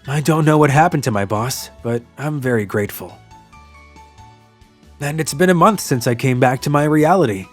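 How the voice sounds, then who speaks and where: A man's voice: medium pitch, lively intonation, normal speed, natural voice. An actor playing an earnest young office worker, narrating his own love story in a manga drama, with music behind. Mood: wistful, reflective.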